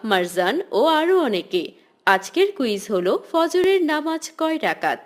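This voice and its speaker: A woman giving scripted narration for children's stories and presenting a quiz. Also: on the high side.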